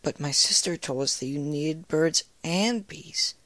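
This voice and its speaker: Man doing a raised-pitch, curious little filly. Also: a little slow, sharp tone, no room sound. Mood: puzzled, insistent. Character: curious, blunt young filly ponies, little girls. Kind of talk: fan fiction read aloud in character.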